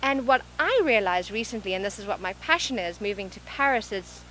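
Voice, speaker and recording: A woman with a South African accent touched by English, a life coach speaking earnestly and softly in an interview, often repeating phrases. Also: medium pitch, animated, a little fast, a little hiss or hum, low-quality recording. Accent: South African with some English. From born in Zimbabwe, raised in South Africa. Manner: earnest, soft-spoken, repeats phrases for emphasis. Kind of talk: reflective interview talk. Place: large event venue.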